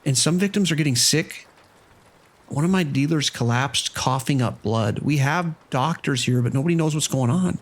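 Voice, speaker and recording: A man's voice: on the high side, normal speed, natural voice. Man playing a worried, urgent casino manager. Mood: urgent, alarmed. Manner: urgent, concerned. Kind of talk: horror audio drama phone call.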